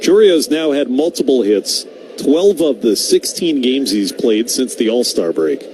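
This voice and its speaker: A man's voice: medium pitch, sing-song, measured pace. A man calling live baseball play-by-play as a radio announcer. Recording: clear background noise, outdoor ballpark ambience.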